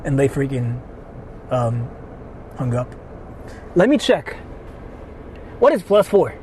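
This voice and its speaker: A young Japanese man with a Japanese accent, live-streaming casually from city streets, excitable and swearing freely as he talks to his chat. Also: mid-range voice, takes it slowly, slight background noise.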